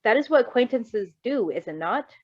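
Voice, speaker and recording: A woman's voice: a little high, expressive, moderate pace. An earnest young male superhero from a read-aloud story, voiced by a woman, speaking stiffly and formally with awkward hesitation. The audio is dull and lo-fi.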